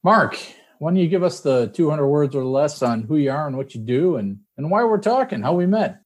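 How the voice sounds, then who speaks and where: A middle-aged man from New England hosting a podcast in a relaxed, folksy, encouraging way. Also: on the high side, a little fast. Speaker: podcast host. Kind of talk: podcast monologue and interview.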